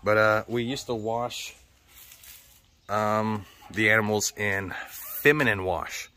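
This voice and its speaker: A man's voice: fairly low pitch, steady tone, slow pace, bright, forceful tone. An American man with a slightly sore throat, rambling casually and swearing often as he chats for a vlog. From United States. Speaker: vlogger. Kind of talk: casual chat.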